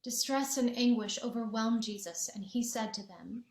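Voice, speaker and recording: A woman narrating a gospel passage in a church dramatic reading, steady and clear. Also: on the high side, normal speed. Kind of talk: scripture narration. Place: church sanctuary. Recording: echoey church room.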